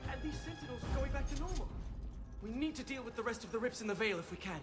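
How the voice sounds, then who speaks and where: A man playing an excitable, bookish young mage companion in a fantasy video game, with a theatrical manner. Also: very high-pitched, fast talker, over music. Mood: excited.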